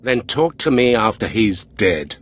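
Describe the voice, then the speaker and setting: An actor playing a cautious, sceptical Imperial officer in a video game, dismissive in manner. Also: male voice, lowish voice, sing-song, unhurried.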